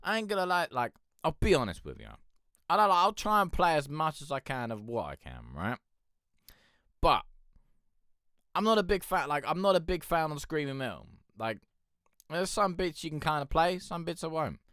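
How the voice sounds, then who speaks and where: Deep bloke reviewing tracks, smooth clear voice, swears a lot, rambles, dry close sound. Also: male voice, big pitch swings, normal speed, fairly bright tone, dry recording. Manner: frank, rambling, swears freely, lots of fillers. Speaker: music review show host. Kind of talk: casual chat reviewing submitted songs.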